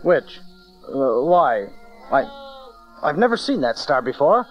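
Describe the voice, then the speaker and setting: A man's voice: on the high side, sing-song, takes it slowly. An actor playing a reverent, awestruck shepherd father in a dramatized audio Bible. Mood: awed. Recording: dull cassette transfer, faint music behind.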